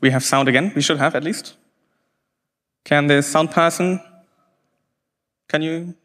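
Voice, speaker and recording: A man whose first language is German gives a conference talk, stopping and restarting often, with plenty of fillers. His voice is bright and forceful. The sound carries a long hall reverb. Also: on the high side. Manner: halting, many restarts and fillers. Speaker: conference speaker.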